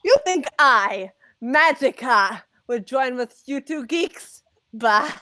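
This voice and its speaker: A woman's voice: sits high, lively intonation, slow, bright, forceful tone, dry recording, exaggerated voice. A woman voicing a haughty, sardonic cartoon sorceress with a sassy, dismissive manner in a live comic dub. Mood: scornful.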